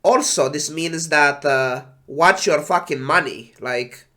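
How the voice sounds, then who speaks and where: A man who is sick and congested, sighing and talking slowly while casually reading game patch notes on a stream. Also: a little high, bright, forceful tone. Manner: slow, sighing, trailing off. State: congested with flu.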